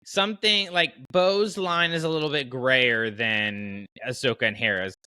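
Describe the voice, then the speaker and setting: A man hosting a casual fan podcast, talking in an animated, colloquial way and explaining his reasoning at length. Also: medium pitch, unhurried, clean tone, no room sound. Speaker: podcast host.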